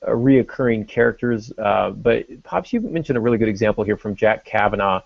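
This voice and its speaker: A man's voice: on the low side, brisk, gravelly, no room sound, muffled recording. A man hosting a podcast, explaining casually and thinking aloud, with some joking along the way. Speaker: podcast host.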